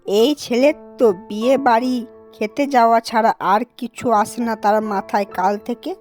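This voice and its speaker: A homely village mother , played by a woman in a cartoon, with a fairly high voice. She is caring yet quick to chide, and speaks expressively over light music. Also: darkish tone, lightly acted. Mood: exasperated, scolding. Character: village mother, caring but scolding, fond sister. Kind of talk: cartoon dialogue acting.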